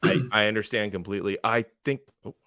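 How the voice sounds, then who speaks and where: A man's voice: on the low side, fast talker, heavy background noise. A man competing in a pun contest, teasing and playful, over a video call. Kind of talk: quick-fire pun banter.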